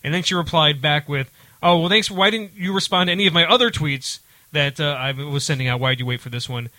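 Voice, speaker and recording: A middle-aged man hosting a talk show with casual, comedic banter and an animated, exclamatory way of talking. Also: fairly high pitch, fast, no echo.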